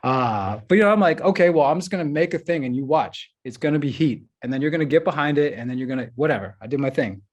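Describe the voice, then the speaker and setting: A man's voice: a little high, lively intonation, fast, hardly any pauses. Chatty man on a panel. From United States. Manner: loose and chatty, full of "like" and "you know what I'm saying". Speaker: filmmaker, panelist. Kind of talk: casual panel discussion.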